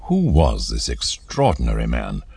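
Low creaky guy narrating a dramatised history doc, measured but theatrical, lots of rise and fall. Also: huge pitch swings, a little mellow. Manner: theatrical, measured, rhetorical questioning. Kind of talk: scripted dramatised documentary narration.

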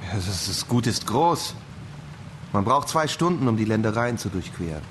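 An actor playing a coarse, awkward young farm heir in a German radio drama. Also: male voice, fairly low pitch, big pitch swings, moderate pace, slight background noise. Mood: explanatory.

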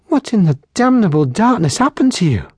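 A man's voice: on the high side, very animated, a little mellow, husky, no room sound, clean studio sound, pitched above the performer's own voice. A man voicing a teasing young barmaid healer. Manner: teasing, brisk scolding.

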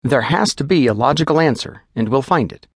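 A man's voice: mid-range voice, animated, fairly quick, creaky, breathy edge, dry recording, clean studio sound, pitched above the performer's own voice. A man playing a rattled middle-aged doctor, speaking in a fussy, clinical and nervously reassuring way.

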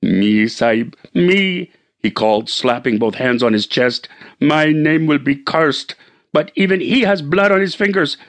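A man's voice: on the high side, unhurried, fairly bright tone, voice pushed up. A man reading an audiobook, voicing a desperate male servant who speaks in a fervent, pleading way.

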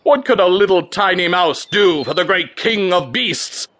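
A haughty lion king of the forest acted by a man, amused and condescending. He chuckles and draws out his words in a bright, theatrical storybook delivery with lively pitch. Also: unhurried, a little hiss or hum. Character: great male lion, amused, boastful king of beasts.

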